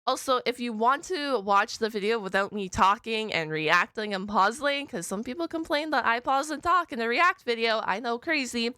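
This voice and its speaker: A woman with a bright, crisp voice hosts a reaction video in a chatty, expressive way. She speaks casually and throws in exclamations, recorded close and dry. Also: fairly high pitch, normal speed, hardly any pauses, no echo. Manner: expressive, exclaims and interjects often. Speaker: host of a reaction channel.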